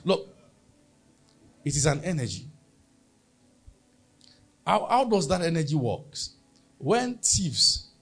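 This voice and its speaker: A man's voice: a little high, husky. A man with a Nigerian accent preaching a sermon as pastor, emphatic and leading the congregation in call-and-response.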